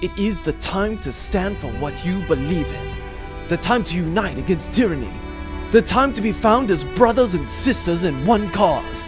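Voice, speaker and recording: An actor playing a pompous, easily distracted space captain in a comedic sci-fi audio drama, speechifying grandly and rambling. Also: male voice, high voice, animated, normal speed, music in the background. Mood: grandly rousing.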